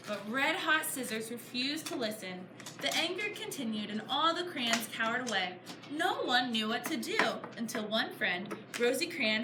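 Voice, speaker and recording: A woman reading a storybook aloud to a class of children, pausing to put questions to them in an encouraging, teacher-like way. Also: on the high side. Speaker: author reading her book to a class. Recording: echoey room, clear background noise. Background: children answering, room noise.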